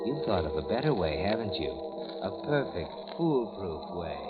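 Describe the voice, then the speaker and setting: Knowing radio narrator, low voice, accusing, loud music under, dull old radio sound. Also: male voice, very animated, unhurried. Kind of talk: 1940s radio acting.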